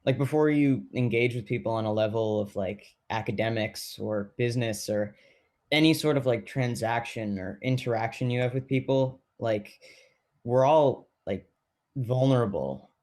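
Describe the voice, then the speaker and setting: A man playing a thoughtful, caring amateur baker in documentary theater, talking casually and haltingly. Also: mid-range voice, darkish tone, lightly acted.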